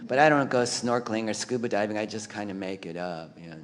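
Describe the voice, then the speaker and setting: A man with a lowish voice answers questions on an art panel. His words trail off in a long hall reverb, and he meanders loosely, leaning on "you know". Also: quick pace. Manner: relaxed, meandering with many fillers. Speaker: panelist artist. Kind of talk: casual panel discussion.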